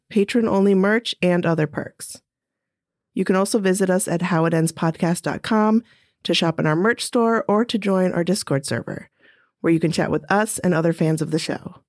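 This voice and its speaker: A woman's voice: on the low side, a little mellow, no echo, studio quality. A woman reading scripted podcast announcements as the show's announcer.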